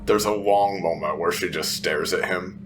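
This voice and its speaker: A man's voice: low voice, fairly even intonation, normal speed, music in the background. A man playing a tabletop roleplaying game, narrating his character's actions in the third person.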